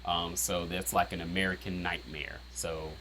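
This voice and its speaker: A man with a very low, deep voice talks through the meaning behind his own rap verses. His tone is bright and forceful despite the low pitch. He rambles casually, filling gaps with "um", "like" and "you know". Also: level tone, normal speed, a little hiss or hum, poor audio. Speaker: rapper breaking down his own lyrics. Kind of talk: casual explanatory chat.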